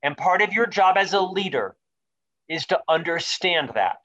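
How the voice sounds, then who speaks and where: A man's voice: sits high, expressive, normal speed, pushed and bright, dull audio. A man in his fifties, a leadership author, talking as an interview guest with emphatic, carefully enunciated speech that stacks up lists of questions and points. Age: 50s. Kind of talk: animated interview answers.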